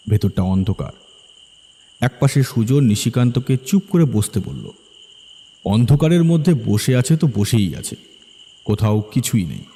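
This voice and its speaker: A man's voice: mid-range voice, warm tone, husky. A man narrating a horror story as a storyteller, in a dramatic, suspenseful way. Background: faint background music.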